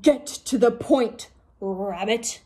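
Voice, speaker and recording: A woman's voice: a little high, takes it slowly, warm tone, slightly rough. An imperious giant Dragon King from a storybook, acted out by a woman reading aloud. He is ailing and impatient, and addresses lesser creatures with pompous, weighty command.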